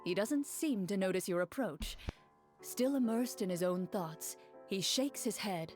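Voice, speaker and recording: A woman giving calm, measured scripted narration in a game. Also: medium pitch, faint music behind.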